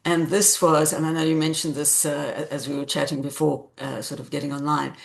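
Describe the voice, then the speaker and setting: A woman author in an interview, explaining things conversationally in a measured, reflective way with careful phrasing. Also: deep voice, level tone, creaky.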